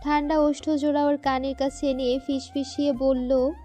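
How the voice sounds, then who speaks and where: Female narrator, high-pitched, romantic audio story, light music underneath. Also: flat delivery, moderate pace, mellow.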